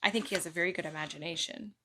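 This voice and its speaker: A woman reading a picture book aloud to children in an expressive way, pausing to ask them simple questions. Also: lowish voice, a little hiss or hum. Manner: expressive, child-directed delivery. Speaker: children's storytime reader, mother.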